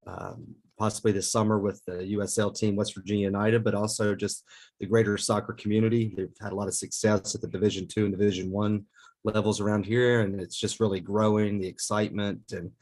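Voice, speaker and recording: A man in his forties, a pastor, introducing himself on a podcast in an earnest, measured way. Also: on the low side, little pitch movement, a little mellow. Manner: earnest, measured, with many fillers.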